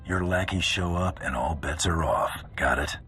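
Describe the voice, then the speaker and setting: A man's voice: low-pitched, moderate pace, fairly bright tone, faint music behind. A man playing a tough, wry bounty hunter in a video game, with dry, terse delivery. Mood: stern. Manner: dry, terse, hard-boiled. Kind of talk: video game cutscene acting.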